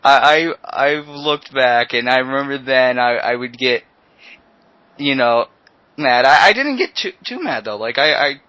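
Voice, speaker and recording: A man's voice: medium pitch, lively intonation, a little slow, bright, forceful tone, no echo. A seventeen-year-old man hosting a casual podcast chat, often repeating words and restarting as he talks. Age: teens. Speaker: podcast host.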